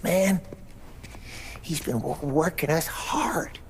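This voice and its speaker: A man's voice: fairly high pitch, takes it slowly, vocal fry, a little hiss or hum. A man playing a nervous, friendly, overworked car mechanic with a stutter and hesitant, informal speech in a video game drama. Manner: hesitant, informal working-class talk.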